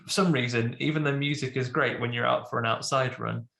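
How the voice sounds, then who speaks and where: A man's voice: medium pitch, steady tone, brisk, vocal fry, fairly bright tone, roomy sound. A man co-hosting a podcast, chatting casually and a bit snuffly from a cold, often restarting his sentences. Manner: rambling, self-interrupting. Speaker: podcast co-host. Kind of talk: casual chat.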